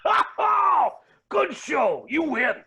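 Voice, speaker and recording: A smug billionaire villain, acted by a man, grand and theatrical. The voice sits high, with gravelly creak and wildly animated pitch, in a comic stage-reading style. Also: slow pace, raised voice, heavily acted. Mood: exuberant. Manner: pompous, grandiose.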